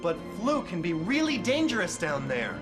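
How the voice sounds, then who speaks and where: A woman's voice: on the low side, big pitch swings, moderate pace. A woman voicing a feisty, protective young raindrop in a cartoon, urgent and scolding. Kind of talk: cartoon voice acting. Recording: loud music behind the voice.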